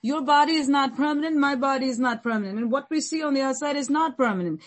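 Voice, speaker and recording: A woman's voice: sits high, fast talker. A woman pastor preaching a sermon, repeating phrases and building long emphatic lists.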